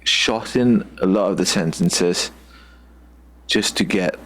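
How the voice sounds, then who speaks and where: A man from Singapore with a Singaporean English accent, chatting casually as a guest and often pausing to search for words. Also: sits low, a bit bright, poor audio. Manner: loose and halting, searching for words with many "like" fillers. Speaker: guest musician. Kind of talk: casual video-call chat.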